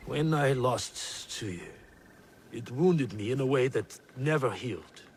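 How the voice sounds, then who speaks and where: Slow, solemn shinobi father. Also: mid-range voice, lively intonation, darkish tone, slight background noise. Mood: tender, grave. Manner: measured, reflective. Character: solemn, tender shinobi father and clan elder. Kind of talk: dramatic video game acting.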